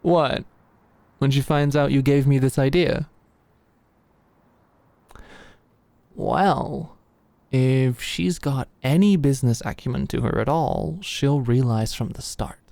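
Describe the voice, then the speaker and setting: A woman's voice: a bass voice, measured pace, creaky, dark tone, dry recording, exaggerated voice. A woman playing a cocky, adoring young British businesswoman in a scripted audio roleplay, smug and teasing. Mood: proud, conspiratorial. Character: Alex, cocky, adoring young queer businesswoman celebrating with fiancée.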